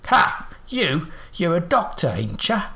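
A man voicing a decrepit, rude old man who talks in rough, uneducated speech and croaks out his words. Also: sits high, wildly swooping pitch, slow, sharp tone, voice pushed up, heavily acted. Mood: gruff, insistent.

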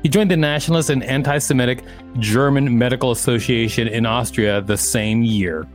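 A man's voice: medium pitch, brisk, faint music behind. Male podcast co-host reading aloud. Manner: casual, joking asides between readings. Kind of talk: reading an article aloud with asides.

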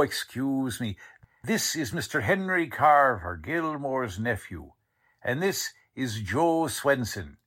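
An actor playing a blunt, slangy, wisecracking police lieutenant in a 1940s style radio drama. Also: male voice, fairly high pitch, fairly bright tone, exaggerated voice.

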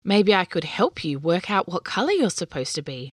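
Woman putting on a gentle little girl. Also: fairly low pitch, very animated, normal speed, slightly rough, no room sound, studio quality. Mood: hopeful. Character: kind curious little girl.

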